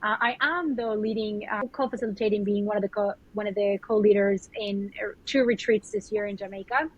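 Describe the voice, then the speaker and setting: A woman's voice: mid-range voice, fairly even intonation, brisk, slightly rough. A woman with a Colombian accent, a spiritual mentor talking earnestly about her path in a podcast conversation, often repeating herself as she gathers her words. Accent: Colombian Spanish. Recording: dull lo-fi audio.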